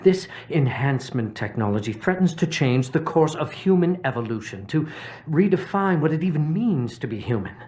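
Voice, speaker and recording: An actor playing a middle-aged political advocate in a video game, persuasive and lofty, with measured oratorical phrasing. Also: male voice, a little high, sing-song, darkish tone. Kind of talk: video game cutscene acting.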